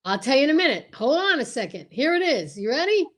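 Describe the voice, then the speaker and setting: Female performer as male radio comedy sidekick: cowardly, stammering blusterer, high and very animated. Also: quick pace. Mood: flustered stalling. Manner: blustering, stammering, stalling delivery. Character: cowardly, stalling male comic sidekick Costello. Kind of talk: old-time radio comedy sketch.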